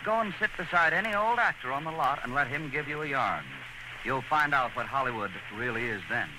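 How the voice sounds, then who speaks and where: Male radio commentator, theatrical, very animated intonation, 1930s storytelling, clearly noisy vintage broadcast. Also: mid-range voice, brisk.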